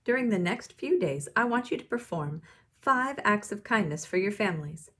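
A woman's voice: medium pitch, animated, normal speed, a bit bright, breathy edge. A woman reading the part of a firm, encouraging female schoolteacher in a storybook, emphatic and instructive.

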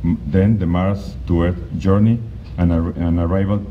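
Man, very low voice, halting conference presenter with many restarts; dull lo-fi recording, faint hiss. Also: fairly even intonation. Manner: halting, with frequent restarts and fillers. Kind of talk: slide presentation talk. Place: conference hall.

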